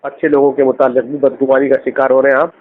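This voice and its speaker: Muffled phone voice, advising cleric. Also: male voice, medium pitch, little pitch movement, fast talker. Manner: explanatory, instructive. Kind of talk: conversational religious advice. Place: on a phone call. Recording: dull lo-fi phone recording.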